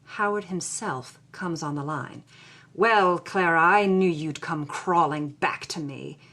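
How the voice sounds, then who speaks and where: A wry, anguished Hollywood woman of the 1940s, voiced by an actress, tells her story in the first person. Her voice sits a little low, and she delivers the journal with theatrical, expressive storytelling. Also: slow, exaggerated voice. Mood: anguished, defiant.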